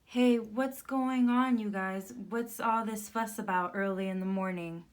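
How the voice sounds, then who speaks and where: A woman's voice: medium pitch, a little slow, close to an everyday voice. A woman voicing a timid, anxious little female mouse in a children's story. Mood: puzzled.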